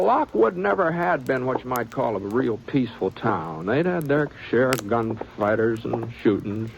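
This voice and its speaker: A man's voice: mid-range voice, gravelly, muffled recording. An actor playing a modest drifting cowboy gunman who narrates and talks with a hesitant, drawling stammer in a 1950s radio drama. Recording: old radio broadcast with faint hiss.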